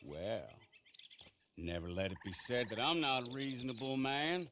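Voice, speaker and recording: A man's voice: mid-range voice, noisy background, close to an everyday voice. A man playing a smug, menacing Old West lawman who talks with mocking politeness in a game cutscene. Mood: smug menace. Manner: mocking, theatrically polite, drawn-out. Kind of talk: dramatic game cutscene acting.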